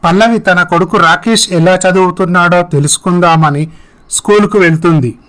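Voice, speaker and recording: High-pitched male story narrator. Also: moderate pace, a bit bright. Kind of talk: scripted moral story narration with acted dialogue.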